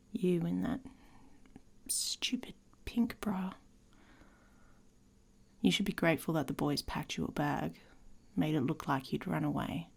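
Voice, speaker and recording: Woman playing a husky, whispery, taunting she-wolf. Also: on the low side, heavily acted. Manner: teasing, sardonic, often dropping into whispers. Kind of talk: intimate ASMR roleplay.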